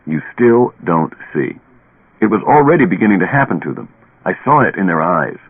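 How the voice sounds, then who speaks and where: An actor playing a stubborn, haunted, tipsy professor who lectures deliberately and dramatically in a radio play. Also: male voice, low-pitched, big pitch swings, slightly rough, narrow, tinny audio, heavily acted. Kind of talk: old radio drama acting. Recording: band-limited old radio recording, echoey.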